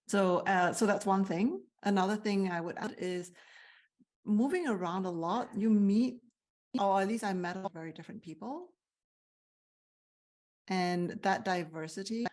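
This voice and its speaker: A woman's voice: on the low side, darkish tone. A woman from Malaysia who works as a CEO coach, answering interview questions in a thoughtful, earnest way. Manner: thoughtful, earnest, with fillers and restarts. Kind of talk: interview, reflective personal answers.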